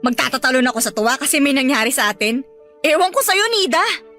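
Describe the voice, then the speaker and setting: A woman's voice: high-pitched, animated, fast talker, bright, forceful tone, soft background music. An actress playing a hurt, indignant young woman with a Filipino accent, sarcastic and accusing in an emotional radio love drama.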